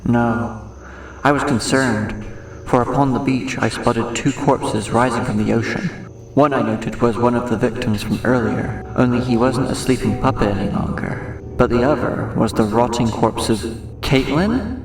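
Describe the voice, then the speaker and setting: A man's voice: medium pitch, normal speed, lightly acted. A man playing a haunted narrator who recounts a seaside horror tale in a grave, literary manner for an audio drama. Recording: very echoey, distant, faint background noise.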